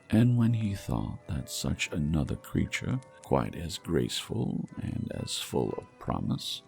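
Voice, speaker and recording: A man reading a classic novel aloud in a measured, expressive storytelling manner. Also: low-pitched, creaky, husky. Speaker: audiobook narrator. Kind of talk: scripted narration of a classic novel.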